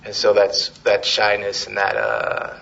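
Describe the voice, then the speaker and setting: A man giving an informal, halting public talk as a political organizer, on a dull, noisy live recording. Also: fairly low pitch, very animated, creaky, fairly bright tone.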